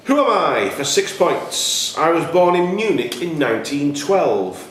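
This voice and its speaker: A man working as a quiz host, reading questions aloud in a deliberate, enunciated way. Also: fairly high pitch, sing-song, fairly bright tone. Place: echoey indoor room at a cricket club. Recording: very echoey room, faint background noise.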